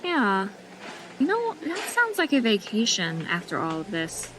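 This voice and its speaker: A woman's voice: medium pitch, wildly swooping pitch, unhurried, noisy background. A woman playing a tired, wry hospital worker in an audio drama.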